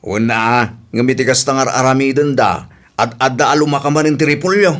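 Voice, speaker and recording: A man's voice: mid-range voice, a little fast, a bit bright, natural voice. A man playing a meddling, secretive village trader in an Ilocano radio drama, with an Ilocano Filipino accent and a grumbling manner.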